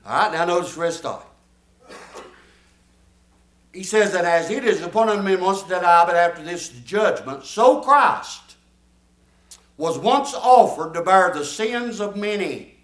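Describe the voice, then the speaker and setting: An older man preaches from the pulpit, his voice pitched a little high. He is folksy and emphatic, putting questions to the congregation. The sound carries through an echoey church room. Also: animated, unhurried, long pauses. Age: 70s. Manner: folksy, emphatic preaching with audience call-and-response. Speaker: pastor. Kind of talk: sermon.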